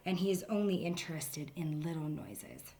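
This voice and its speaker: A woman's voice: low voice, normal speed, a little mellow, lightly acted. A woman doing a wise old groundhog. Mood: confiding. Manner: confiding, whispers his advice.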